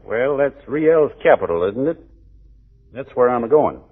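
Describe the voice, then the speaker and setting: An actor playing a courtly, stubborn Texas Ranger with a folksy, hesitant Texan way of talking, in 1940s radio drama style. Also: male voice, mid-range voice, very animated, measured pace, slightly rough. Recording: noisy, tinny 1940s radio recording.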